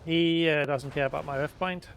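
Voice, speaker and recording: A man does casual let's play commentary in short, offhand bursts. His voice sits fairly high for a man and has a creaky, gravelly edge. Game ambience and noise are clearly audible behind him. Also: steady tone, normal speed. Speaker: gaming video host.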